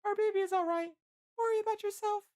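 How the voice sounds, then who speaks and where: A narrator voicing a distraught young heroine in an adventure story, pleading urgently in a shrill, drawn-out way. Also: fairly even intonation, a little mellow. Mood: tender, worried.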